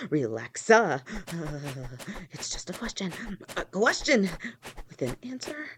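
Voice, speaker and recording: A woman's voice: medium pitch, a bit bright. A woman playing a doting, playful lamia girlfriend. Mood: nervous, flustered. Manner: affectionate, teasing, pet names; stammers and rambles when nervous. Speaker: voice actor. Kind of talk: listener-directed audio roleplay.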